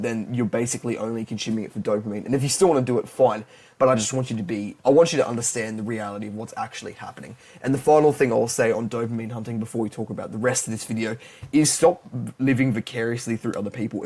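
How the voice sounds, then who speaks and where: A man's voice: medium pitch, a little mellow. An Australian man giving casual, direct advice on learning languages to camera, plain-spoken with frequent restarts. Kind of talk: casual talking-head advice.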